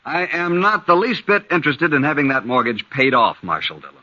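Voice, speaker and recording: An actor playing a greedy, dismissive land-grabbing moneylender in a vintage radio western, speaking curtly. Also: male voice, a little high, animated, a little fast, sharp tone. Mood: cold. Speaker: banker villain. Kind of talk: 1950s radio drama acting. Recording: dull lo-fi vintage radio recording.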